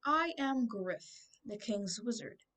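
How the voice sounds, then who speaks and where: A young female reader voicing a calm, authoritative old male wizard with a measured, courteous manner in a storybook. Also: fairly high pitch.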